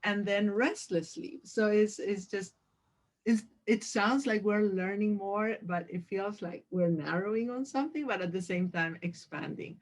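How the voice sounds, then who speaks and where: A woman from Peru who is a sleep physician, speaking over a video call in a gracious, measured way. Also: medium pitch.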